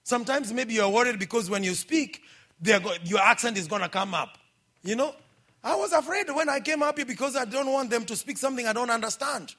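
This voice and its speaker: A middle-aged man with a Kenyan accent, preaching a sermon in a lively, exhorting way and calling out for amens. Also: very high voice, fairly quick. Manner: lively, exhorting, calls out for amens, quotes scripture.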